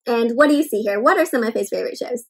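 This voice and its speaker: A young woman doing an interactive read-aloud for children, exclaiming and putting questions to her young listeners in an animated way. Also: a little high, fast, husky. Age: young adult. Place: indoor room with bookshelves.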